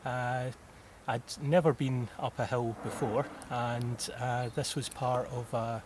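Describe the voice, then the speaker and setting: Scottish man, 30s, interview guest, easygoing and halting, mid-range voice, audible background noise. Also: medium pitch, steady tone. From southwest Scotland. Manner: unhurried, with frequent "uh" pauses and occasional laughs. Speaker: mountaineer guest. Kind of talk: interview answers.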